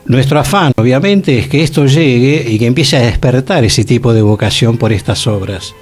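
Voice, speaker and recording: A man's voice: mid-range voice, normal speed, a little mellow, faint music behind. A man with a Buenos Aires accent, hosting a radio discussion in an earnest, explanatory way. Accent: Argentine, Buenos Aires. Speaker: radio host.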